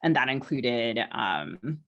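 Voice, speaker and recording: A woman's voice: extremely low pitch, sing-song, slow, highs cut off. A woman in a casual podcast conversation, speaking as a novelist and co-host in a hesitant, circling way with many qualifications.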